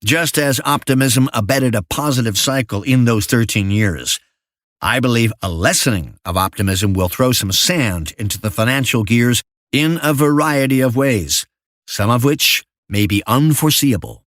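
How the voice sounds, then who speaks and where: An older man reading a financial memo as scripted narration in a measured, formal manner. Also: mid-range voice, expressive, fairly bright tone, no echo, clean studio sound.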